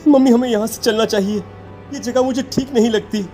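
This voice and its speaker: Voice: mid-range voice, steady tone, a little fast, dark tone, faint music behind, close to an everyday voice. An actor voicing a frightened, clingy teenage schoolboy in a horror cartoon, hesitant and repeating pleas. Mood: scared, confused. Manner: hesitant, repetitive pleading. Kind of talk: melodramatic horror cartoon acting.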